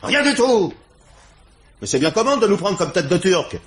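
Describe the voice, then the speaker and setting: Swooping, high-pitched defiant young suspect. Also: male voice, big pitch swings, normal speed. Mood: indignant. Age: young adult. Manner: sulky, protesting, insolent. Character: cheeky, defiant young male suspect, indignant petty crook. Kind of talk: French radio crime drama acting.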